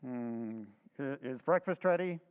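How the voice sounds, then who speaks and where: A man's voice: medium pitch, animated, measured pace, clear, resonant tone, natural voice. A man playing a terse, weary husband on a tinny line. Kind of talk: old-time radio drama recreation. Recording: tinny phone-like sound.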